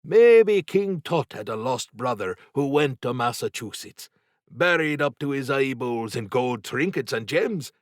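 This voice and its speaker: A man reading an audiobook, voicing a sly, obsessed workman boss with a sharp, urgent, goading manner. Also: mid-range voice, expressive, unhurried, no echo, studio quality, voice pushed up, lightly acted. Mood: excited, eager. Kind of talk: audiobook character dialogue.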